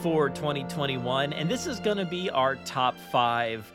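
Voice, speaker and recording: A man's voice: medium pitch, lively intonation, a little slow, over music. A man hosting a podcast in a casual, enthusiastic, chatty and informal way. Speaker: podcast host.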